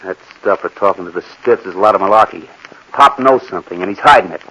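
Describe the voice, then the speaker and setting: An actor playing a brash, skeptical, bossy reporter in a 1940s radio mystery. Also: male voice, low-pitched, bright, forceful tone, breathy edge, exaggerated voice. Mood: dismissive. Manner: brisk, bossy, dismissive, slangy. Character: brash, skeptical, driven male newspaper reporter. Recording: noisy vintage radio recording.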